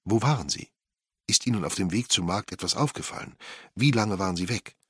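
A man's voice: on the low side, lively intonation, brisk, slightly rough, no echo, studio quality, voice pushed up. A man voicing a firm, probing woman investigator who questions witnesses urgently in an audiobook.

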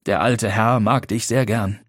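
A man voicing a placid middle-aged husband who speaks calmly, precisely and with clear accents. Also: lowish voice, unhurried, no echo, studio quality. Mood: hurt.

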